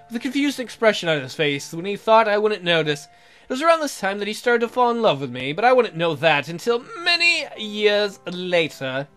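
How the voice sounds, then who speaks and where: A man's voice: high-pitched, sharp tone. A man reading a visual novel aloud as a let's player, in a theatrical, jokey way with asides. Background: music.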